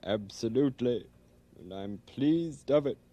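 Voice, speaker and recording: A man voicing a pompous male king puppet who speaks slowly, with stately, drawn-out royal speech. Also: mid-range voice, animated, warm tone, slight background noise, natural voice. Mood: regal. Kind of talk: children's puppet show.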